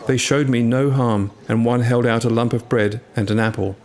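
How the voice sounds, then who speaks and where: A man reading a scripted first-person tale in a measured, literary storytelling manner. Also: mid-range voice, steady tone, darkish tone. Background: music.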